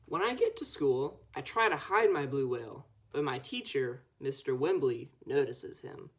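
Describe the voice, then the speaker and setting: A young female Scout reading a children's picture book aloud, with expressive storytelling. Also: very deep voice, normal speed. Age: child or teenager. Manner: expressive storytelling with acted dialogue. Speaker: Scout volunteer reader.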